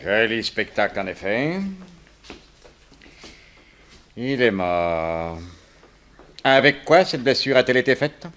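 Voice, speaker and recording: A man's voice: medium pitch, lively intonation, measured pace, fairly bright tone. An actor playing a brusque, self-important police inspector in a French radio detective drama, curt and bossy as he questions and gives orders. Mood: curt, commanding.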